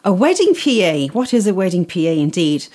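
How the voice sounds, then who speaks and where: Woman, Irish accent, middle-aged, low voice, steady detailed talk. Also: a little fast. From Isle of Man. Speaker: guest, wedding PA. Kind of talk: casual interview.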